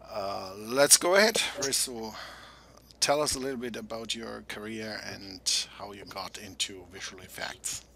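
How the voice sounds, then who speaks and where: A man's voice: on the low side, creaky, sharp tone, a little hiss or hum. A middle-aged man hosting an interview over a video call on a headset mic, asking plain questions with frequent fillers. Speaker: interviewer, host.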